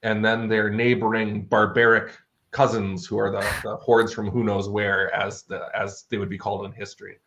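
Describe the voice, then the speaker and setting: A man's voice: lowish voice, level tone, moderate pace, a bit bright. A man speaking as an author being interviewed, explaining his fantasy worldbuilding in an articulate, bookish, conversational way.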